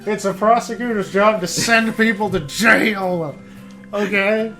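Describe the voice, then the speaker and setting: A laid-back man chats on a stream, laughing often and swearing casually. His voice sits somewhat high for a man, and faint game music plays behind him. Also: measured pace, bright, forceful tone. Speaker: streamer co-host. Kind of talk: casual live-stream chat.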